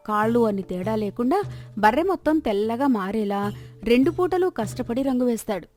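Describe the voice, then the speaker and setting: A woman's voice: a little high, warm tone. A woman narrating a scripted moral folk tale as a storyteller.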